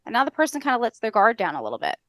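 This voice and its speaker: A middle-aged American woman on a headset, explaining step by step as a podcast guest in a brisk, structured, instructional way. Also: a little high, wildly swooping pitch, fairly bright tone, no room sound, clean studio sound. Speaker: podcast guest, customer service trainer. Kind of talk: explanatory interview talk with acted-out call examples. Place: indoor room on a video call.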